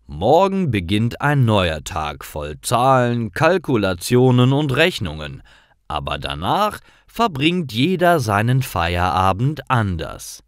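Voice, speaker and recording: A man's voice: medium pitch, big pitch swings, slow pace, dry recording, clean studio sound. A man reading simple stories for language learners in a careful, scripted narrating style.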